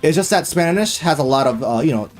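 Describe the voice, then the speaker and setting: Excitable young streamer man, slangy and loose. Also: on the high side, fast talker, a little hiss or hum. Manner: excitable, casual, full of fillers and slang; swears freely. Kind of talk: live gaming stream chatter.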